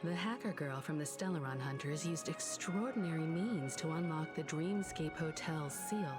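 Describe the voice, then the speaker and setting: A woman's voice: low-pitched, moderate pace, natural voice. A woman playing a composed, analytical investigator in a video game. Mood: cool, pensive. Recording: loud background music.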